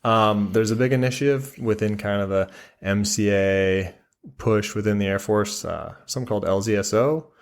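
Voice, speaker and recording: A man with a deep, low-pitched voice speaks as an interview guest. He explains his job informally, in short conversational phrases that he softens as he goes. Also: normal speed. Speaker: interview guest, military air traffic controller. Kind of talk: casual explanatory interview chat.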